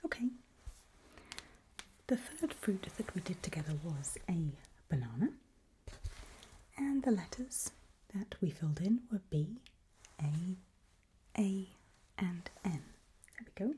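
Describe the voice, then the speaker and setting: Slow, whispering woman in ASMR roleplay. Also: animated, slight background noise. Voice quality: breathy whisper mixed with very soft low speech. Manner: gentle, unhurried, encouraging, patient. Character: calm, reassuring doctor running a creepy memory test. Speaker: ASMR performer playing a doctor giving a memory test.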